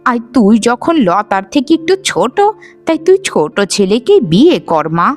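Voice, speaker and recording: A woman voicing a middle-aged village mother in a cartoon, expressive and pleading. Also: fairly high pitch, normal speed, faint music behind. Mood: coaxing. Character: anxious, pleading village mother of twin daughters. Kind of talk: animated moral-tale voice acting.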